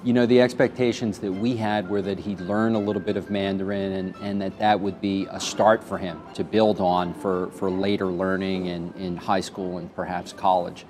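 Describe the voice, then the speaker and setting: A man's voice: low-pitched, little pitch movement, normal speed, hardly any pauses, darkish tone, slight background noise. A man talking as a parent in an interview, earnest, with small restarts.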